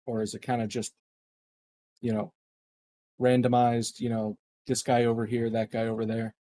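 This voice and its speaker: Male tabletop player, mid-pitched mellow voice, casual improvised delivery with a hesitant feel. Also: monotone, moderate pace. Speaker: player in a tabletop roleplaying game. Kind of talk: casual in-character and table talk.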